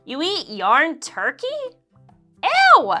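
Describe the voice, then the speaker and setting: A woman voicing an excitable young schoolgirl in a storybook, exclaiming in a squealy way. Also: sits high, extremely slow, faint music behind, pitched above the performer's own voice, exaggerated voice. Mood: disgusted squealing.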